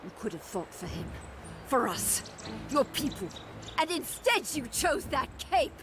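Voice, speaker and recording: A woman's voice: high voice, very animated, unhurried. An actress playing a proud, bitter, street-wise rebel woman in a fantasy game, cutting and commanding in her delivery. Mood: bitter, furious. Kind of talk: dramatic fantasy game voice acting. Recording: music behind the voice.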